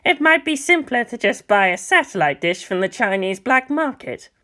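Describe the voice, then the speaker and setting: A man voicing a skeptical monk elder who questions things in drawn-out words, in an audiobook. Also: very high voice, very animated, pushed and bright, pitched above the performer's own voice, heavily acted. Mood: doubtful, amused.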